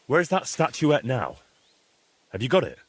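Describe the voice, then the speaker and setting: A sensible adventurer in a medieval video game. His voice is mid-pitched, husky and very expressive. He speaks frankly, with a dry, questioning edge. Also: unhurried, no echo, heavily acted. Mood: probing. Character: sensible, helpful young male adventurer with dry wit. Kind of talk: video game dialogue acting.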